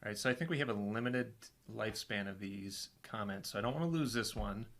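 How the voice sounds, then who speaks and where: A man's voice: lowish voice, brisk, fairly bright tone. A man co-hosting a casual live question-and-answer chat, reading viewer questions aloud in an easygoing, playful way.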